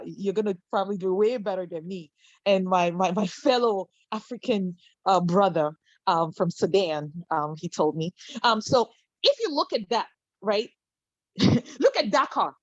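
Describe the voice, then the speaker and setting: A woman's voice: mid-range voice, no echo, lo-fi sound. A woman from Cameroon speaking on a video call panel, impassioned, full of fillers, restarts and rhetorical questions.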